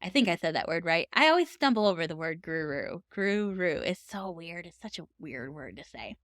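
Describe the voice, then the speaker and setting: A woman's voice: on the low side, sing-song, moderate pace, fairly bright tone, no room sound, clean studio sound. A woman speaking as a podcast host, giving advice in an earnest, conversational and encouraging way. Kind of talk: encouraging advice talk to camera for writers.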